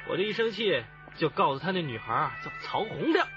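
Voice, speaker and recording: An actor playing a young, exasperated, joking detective in a radio drama. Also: male voice, fairly high pitch, sing-song, brisk, highs cut off, over music.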